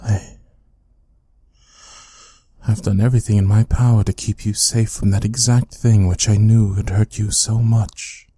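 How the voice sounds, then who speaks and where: A man playing an obsessive, devoted butler in an ASMR roleplay, speaking formally and intimately in a soft, breathy, dark voice. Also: on the low side, fairly even intonation, measured pace, pauses often, no echo. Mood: reverent sorrow. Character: devoted, obsessive yandere butler, tenderly protective of mistress. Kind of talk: ASMR audio roleplay acting.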